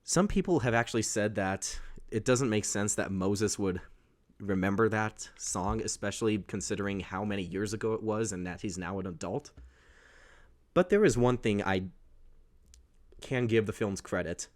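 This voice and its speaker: Rambling young baritone man recapping a film. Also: moderate pace. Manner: rambling, self-correcting, with restarts. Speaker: host. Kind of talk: casual movie recap.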